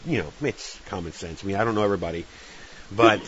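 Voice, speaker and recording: A middle-aged man with a deep voice, chatting casually and plainly as a podcast host in a rambling, informal way. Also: fast talker, fairly bright tone. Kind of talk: casual interview chat. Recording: dull lo-fi audio with clear hiss.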